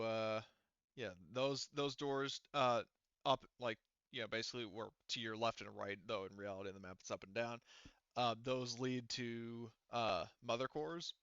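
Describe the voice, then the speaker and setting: A man's voice: medium pitch, fairly bright tone, smooth, no room sound, lo-fi sound. A man acting as game master, casually narrating a tabletop role-playing game in an informal way.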